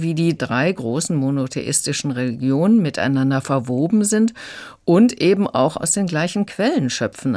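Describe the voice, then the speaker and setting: A woman's voice: low-pitched, darkish tone. A woman book critic on a radio program explaining a recommendation in an engaged, explanatory way, with occasional hesitations. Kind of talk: radio review conversation.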